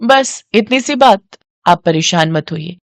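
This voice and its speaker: Woman doing a smart young wife in a moral story, reassuring, practical, lively intonation. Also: on the low side, measured pace, no echo, studio quality. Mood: reassuring, confident.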